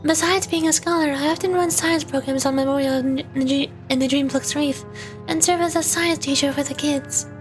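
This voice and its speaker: Female VTuber, high voice, reading out game script live over background music. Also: steady tone, brisk. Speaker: streamer, VTuber. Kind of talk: reading game dialogue aloud on a livestream.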